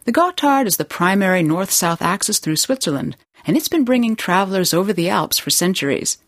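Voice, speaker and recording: A woman hosting a radio program in a studio, reading scripted narration in a measured presenting manner. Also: mid-range voice, expressive, no room sound, soft background music. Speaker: program host.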